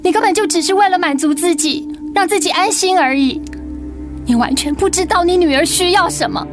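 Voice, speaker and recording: An actress playing an unhappy, irritable grown daughter, weary and bitter, in a radio drama. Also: female voice, extremely high pitch, a little fast. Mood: angry, exasperated. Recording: music behind the voice.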